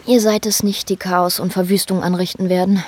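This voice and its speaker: A woman's voice: on the low side, level tone, brisk, background noise, close to an everyday voice. An actress playing a worldly, cool woman who mocks the man she confides with in a radio drama. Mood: cool, mocking.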